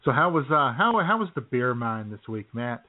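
A man's voice: mid-range voice, very animated, moderate pace. A man co-hosting an internet radio show with casual, joking banter. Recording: tinny phone-line internet radio sound.

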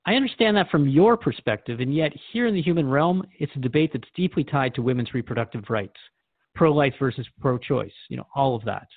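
A man's voice: medium pitch, lively intonation, a little fast, no room sound. A man playing a thoughtful, mildly skeptical radio interviewer in a scripted drama, speaking in a reflective, questioning way. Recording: narrow phone-like band, dry.

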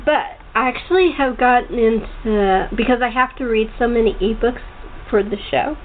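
A woman hosting a casual chat interview, talking in a rambling, halting way with frequent restarts. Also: a little high. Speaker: talk show host. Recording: tinny phone-line sound with faint noise.

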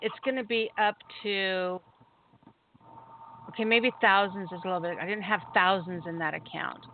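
A woman telling a story on a call-in show in a chatty, animated way, laughing often. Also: mid-range voice, moderate pace. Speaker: caller sharing a story. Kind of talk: casual call-in chat. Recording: tinny phone line with faint noise.

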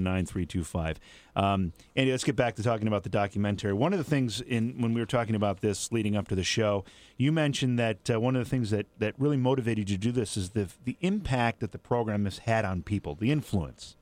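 A man's voice: fairly low pitch, brisk, darkish tone, no echo. Male radio host, middle-aged, conversational interview style, dry studio acoustic. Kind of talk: casual radio interview with call-ins.

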